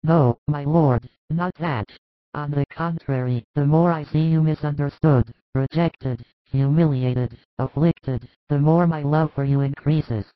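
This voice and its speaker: A man's voice: on the high side, fairly even intonation, a little slow, dry recording, lightly acted. A man voicing a worried, devoted apostle who speaks in an earnest, pleading way for an audiobook. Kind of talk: audiobook dialogue reading. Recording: dull lo-fi dry recording.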